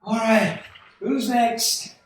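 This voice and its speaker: Man acting an earnest, high-voiced young man, echoey. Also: steady tone, very slow, exaggerated voice. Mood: urgent, reassuring. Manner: stammering, folksy, emphatic. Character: earnest, excitable, self-doubting young small-town family man. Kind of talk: 1940s radio play acting. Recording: distant, very echoey stage hall.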